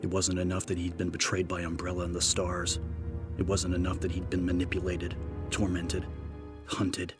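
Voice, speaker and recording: Deep low guy reading a horror story, grave and measured, pretty flat, loud music behind. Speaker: narrator. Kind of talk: dramatic scripted horror fiction narration. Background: prominent background music.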